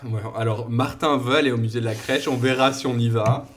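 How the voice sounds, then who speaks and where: A man's voice: mid-range voice, fairly even intonation, brisk, fairly bright tone. A man in his thirties co-hosting a podcast, telling rambling stories in casual chat and laughing often. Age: 30s. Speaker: podcast co-host.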